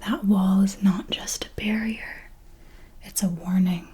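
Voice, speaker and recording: A woman playing an elegant vampire mentor in a whispered ASMR roleplay, formal and deliberate, possessive, teasingly menacing and protective, with a breathy delivery. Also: mid-range voice, steady tone, darkish tone, slight background noise, natural voice. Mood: calm warning. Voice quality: breathy, mostly unvoiced whisper. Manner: slow, deliberate, formal, with measured pauses.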